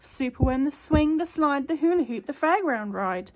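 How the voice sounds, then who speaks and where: A woman teacher reading a children's storybook aloud in an expressive, child-directed way. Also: high voice, moderate pace, low-quality recording. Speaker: primary school teacher.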